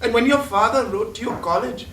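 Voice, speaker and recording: A man's voice: very high voice, brisk, fairly bright tone, a little hiss or hum. An actor playing an old, devout male family servant in a stage play, speaking with formal, solemn address. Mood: earnest. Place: theatre stage. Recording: echoey stage from far off, music.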